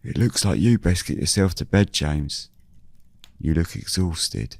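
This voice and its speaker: Guy acting as low-voiced old country fella, folksy n chatty, bit cautious, audio drama, real dry sound. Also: a little slow, creaky, darkish tone, dry recording, full character voice. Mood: easygoing. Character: genial older countryman forager Alfred, folksy and cautious. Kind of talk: audio drama character dialogue.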